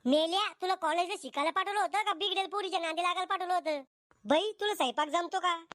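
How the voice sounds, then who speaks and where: Man voicing a shrill, scolding cartoon mother. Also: fast talker, pushed and bright, no room sound, studio quality. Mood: scolding, sarcastic. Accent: Vidarbha Marathi. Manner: scolding, sarcastic. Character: exasperated, sharp-tongued middle-aged village mother. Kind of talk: comic cartoon dialogue.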